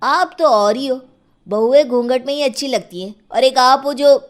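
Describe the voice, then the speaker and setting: Woman playing a whiny, protective young husband, highish. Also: moderate pace, close to an everyday voice. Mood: protesting. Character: doting young husband protesting to his mother.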